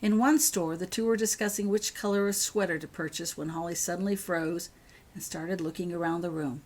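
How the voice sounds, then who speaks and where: A woman's voice: fairly low pitch, fairly even intonation, fairly quick, darkish tone. A woman reading her own story as scripted audiobook narration.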